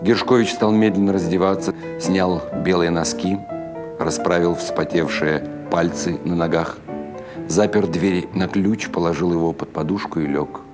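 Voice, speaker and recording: A male narrator reads classic fiction in a very low voice. The delivery is a scripted dramatic reading, with clear music in the recording. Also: fairly even intonation, moderate pace. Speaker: narrator of literary short stories.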